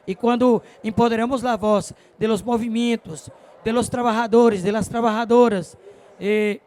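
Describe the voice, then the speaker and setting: A man's voice: extremely high pitch, fairly even intonation, moderate pace, darkish tone. A man with a Brazilian Portuguese accent and native Portuguese, giving impassioned panel remarks in Spanish mixed with Portuguese words. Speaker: recyclers' movement leader, panel speaker.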